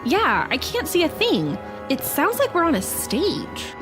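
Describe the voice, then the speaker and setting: A woman's voice: sits high, wildly swooping pitch, measured pace, over music, heavily acted. A woman playing a confident, teasing young adventurer in an audio drama, speaking in a wry, conversational way.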